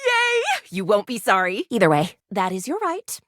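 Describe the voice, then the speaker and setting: A woman's voice: medium pitch, wildly swooping pitch, moderate pace, a bit bright, no echo, clean studio sound. A woman playing a perky, eccentric, gleefully morbid lady doctor in a video game, with gushing, old-fashioned, melodramatic phrasing. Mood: coaxing, playful. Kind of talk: animated video game character acting.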